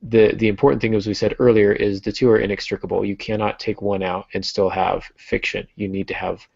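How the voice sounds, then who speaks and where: A low-pitched man with a gravelly edge hosts a casual panel discussion. He talks conversationally, as a podcast host would. The sound is close and dry, though slightly muffled and lo-fi. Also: level tone, fairly quick, a little mellow, dry recording.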